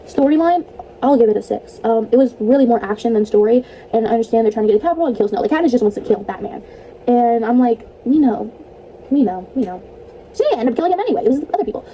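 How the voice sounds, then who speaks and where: A woman's voice: on the high side, expressive, quick pace, warm tone, slight background noise, poor audio. A young woman vlogging casually about movies, chatty and rambling, laughing often, her voice a bit stuffy and tired. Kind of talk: casual movie review vlog.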